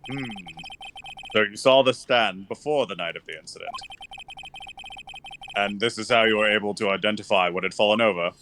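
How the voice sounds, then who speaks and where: A man voicing a solemn, mildly puzzled older judge in a live game dub, deliberate and formal. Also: fairly low pitch, fairly bright tone, a little hiss or hum.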